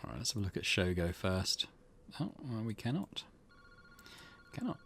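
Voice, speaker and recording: A man's voice: on the low side, very animated, moderate pace, a bit bright, slight background noise. A man hosting a gaming playthrough, reading visual novel narration aloud with casual asides. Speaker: gaming channel host.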